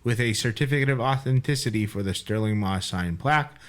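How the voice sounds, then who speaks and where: A man's voice: medium pitch, brisk. Male podcast co-host, mock radio-announcer read, some stumbles. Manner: mock announcer delivery when reading, stumbles on words. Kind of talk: casual chat, reading an auction listing aloud.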